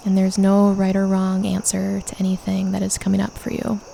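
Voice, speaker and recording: A woman leading a guided meditation, calmly and gently instructing with unhurried pauses. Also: mid-range voice, vocal fry, a little hiss or hum.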